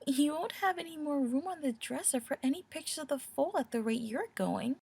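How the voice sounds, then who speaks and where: A woman voicing a cheerful, affectionate pregnant pony wife in an audio story, breathy, gentle and teasing in manner. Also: high-pitched, expressive, a little fast. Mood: playful teasing. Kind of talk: audio story character acting.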